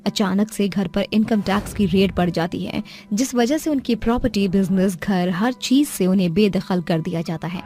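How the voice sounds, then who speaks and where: A woman's voice: mid-range voice, normal speed, dark tone. A woman reading a scripted moral story as its narrator. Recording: music playing behind the voice. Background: background music.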